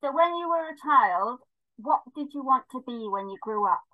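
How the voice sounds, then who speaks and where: A woman's voice: sits high, animated, normal speed. A woman hosting a podcast interview with casual, conversational questions and short acknowledgements, heard over tinny call audio. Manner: laughs readily, short acknowledgements. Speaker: podcast host.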